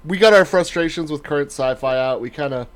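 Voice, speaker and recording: A man's voice: fairly high pitch, fast. A man hosting a casual podcast chat, joking sarcastically and swearing freely. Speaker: podcast host.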